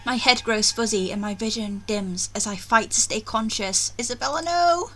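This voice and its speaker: A woman's voice: fairly high pitch, moderate pace, fairly bright tone, poor audio. A woman hosting a gaming video, reading a story aloud theatrically with casual commentary. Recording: faint music behind.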